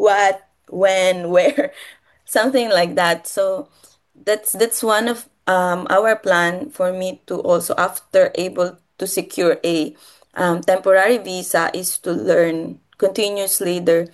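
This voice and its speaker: A woman from the Philippines with a Filipino accent and Filipino as her first language, talking casually and haltingly, often repeating and rephrasing her phrases. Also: lowish voice, fairly even intonation. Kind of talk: casual conversational personal talk.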